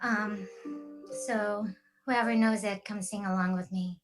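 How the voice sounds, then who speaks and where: A woman's voice: medium pitch, fairly even intonation, unhurried, music in the background. A woman from the Philippines, a singer talking casually and in a rambling way between songs at a virtual concert. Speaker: singer, performer.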